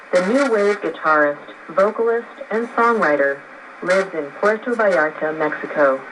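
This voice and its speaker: Female radio presenter, mid-range voice, scripted narration, clearly noisy shortwave recording. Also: mid-range voice. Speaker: radio program host.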